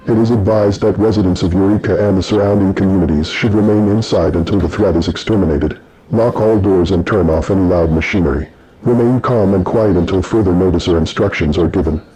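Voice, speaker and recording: Emergency broadcast announcer played by a man: low, dark voice, grave formal read over music. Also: close to an everyday voice, low-quality recording. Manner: measured, formal, ominous. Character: grave official county emergency alert announcer.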